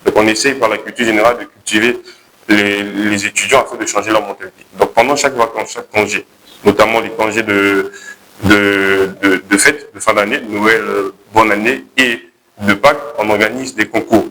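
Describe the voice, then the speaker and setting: A young man with a Congolese accent pitching his project to a jury, hesitating and correcting himself as he goes. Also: fairly even intonation, moderate pace, sharp tone, a little hiss or hum, poor audio. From Republic of the Congo. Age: young adult. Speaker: contest candidate pitching a project.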